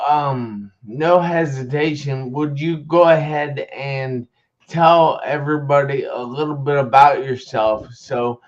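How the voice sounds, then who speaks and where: A man's voice: a little high, lo-fi sound. A man hosting a live online talk show, talking in an upbeat, enthusiastic way. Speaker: host.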